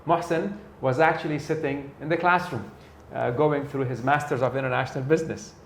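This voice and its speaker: A man's voice: medium pitch, a little fast, creaky, slight background noise. A man hosting an interview, talking conversationally with frequent fillers. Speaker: interview host and sales trainer. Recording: echoey room, faint ambience.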